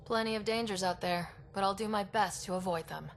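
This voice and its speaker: A woman's voice: medium pitch, fairly quick, slight background noise, natural voice. Game role: earnest young space leader played by a woman, mid register, faint ambience behind her. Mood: awed, light. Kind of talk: video game cutscene acting.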